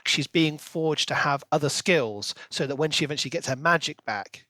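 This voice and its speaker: Man, higher voice, very dry sound. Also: normal speed, pushed and bright, dry recording. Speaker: podcast co-host. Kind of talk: casual book discussion.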